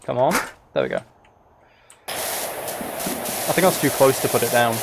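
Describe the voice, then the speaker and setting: A man's voice: mid-range voice, huge pitch swings, unhurried, gravelly, background noise. A man live streaming a video game, chatting casually and thinking aloud in a rambling way. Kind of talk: casual chat while playing a video game.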